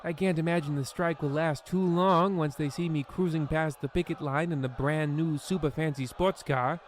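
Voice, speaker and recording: An actor playing a pompous, stingy, scheming host in a theatrical parody of old-time radio. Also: male voice, a little high, steady tone, normal speed, a faint crowd, slight background noise, close to an everyday voice. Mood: smug scheming. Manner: theatrical, grandiose. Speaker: host of a radio play series.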